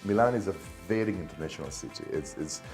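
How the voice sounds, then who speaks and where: A man in his thirties with an Italian accent, a business guest talking in an interview, animated but hesitant, with many fillers and restarts. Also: deep voice, fast, soft background music. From Italy. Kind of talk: conversational interview. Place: studio set at a business club.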